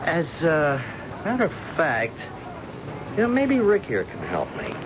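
A man's voice: fairly high pitch, wildly swooping pitch. An actor playing a stubborn, cagey middle-aged blind father in an old-time radio drama, with a hesitant, folksy delivery. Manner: hesitant, folksy, halting interjections. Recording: muffled, noisy old radio recording.

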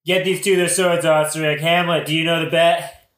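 A man's voice: fairly high pitch, level tone, moderate pace, a bit bright, roomy sound, close to an everyday voice. A man playing a smug, boastful, scheming king in a modern comic audioplay, with a glib, bragging manner. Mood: brisk, showy.

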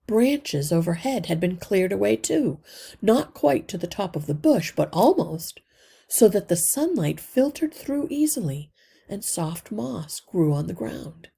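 Husky, gravelly woman reading a storybook. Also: mid-range voice, sing-song, a little slow, dark tone. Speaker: read-aloud podcast narrator. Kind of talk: expressive children's storybook reading.

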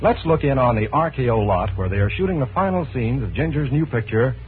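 Male announcer, middle pitch, polished and formal, scripted 1940s radio, thin narrow vintage sound. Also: expressive, fairly quick, a little hiss or hum. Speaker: radio announcer and sponsor host.

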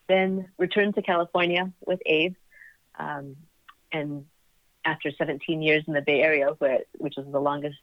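A middle-aged woman speaks reflectively as an interview guest, often pausing mid-sentence. Her voice comes through dry and thin, with the narrow band of a phone-like call. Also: sits low, no echo. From born in Singapore, raised there and in the United States. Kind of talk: reflective personal conversation.

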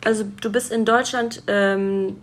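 A woman's voice: mid-range voice, fairly even intonation, a little slow. Young woman, podcast host, casual chat, lots of fillers. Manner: friendly, many fillers, affirming hums. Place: guest's home in a city flat.

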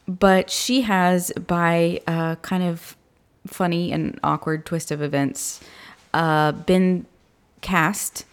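Slightly raspy woman chatting about books. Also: on the low side. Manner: chatty, laughs often. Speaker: podcast co-host. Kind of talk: casual chat, book review.

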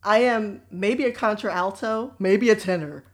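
Woman co-host, lively anecdote teller, conversational delivery. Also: mid-range voice, a little slow. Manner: animated, laughing storyteller. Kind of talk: casual storytelling chat.

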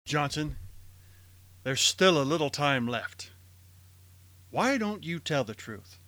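A man's voice: a little high, sing-song, slow pace, very noisy. A man acting an earnest, urgent lawyer in a radio drama, persuasive and pressing. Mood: urgent, pleading.

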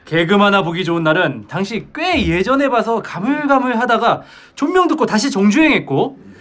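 A man's voice: sits high, very animated, normal speed, fairly bright tone. A man co-hosting a casual podcast, chatting playfully and reading listener comments aloud. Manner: playful, jokey. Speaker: podcast co-host.

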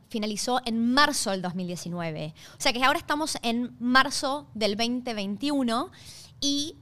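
A woman's voice: fairly high pitch, a bit bright. A woman with an Argentine accent hosting a podcast, talking conversationally in an explanatory way and checking in with listeners. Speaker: podcast host.

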